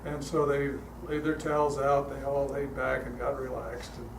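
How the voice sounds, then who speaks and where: An older man speaking as a guest at a church, telling stories in a relaxed, chatty way with frequent fillers, his voice calm. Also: mid-range voice, normal speed, creaky. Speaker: guest speaker leading a guided meditation. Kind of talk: storytelling talk to a congregation. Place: church hall. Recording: echoey hall, heard from a distance, noisy.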